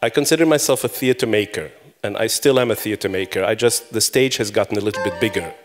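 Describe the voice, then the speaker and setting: Middle-aged guy, hebrew is his first language, chatty conference talk, bit low voice, lots of ums. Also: fast talker, faint music behind. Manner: chatty, self-deprecating, frequent restarts and fillers. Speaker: conference speaker. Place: conference hall before an audience.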